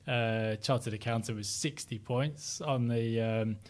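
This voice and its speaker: Man, middle-aged, softened northern English accent, reflective, lots of ums, relaxed interview chat. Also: mid-range voice, unhurried. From Sheffield, South Yorkshire, England. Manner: hesitant, many ums and restarts, reflective. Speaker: interview guest.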